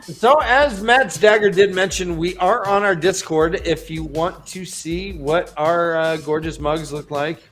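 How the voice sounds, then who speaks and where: A man co-hosting a podcast, excitable and goofy, laughing often. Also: on the high side, measured pace, hardly any pauses, soft background music. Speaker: podcast co-host. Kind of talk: casual podcast chat.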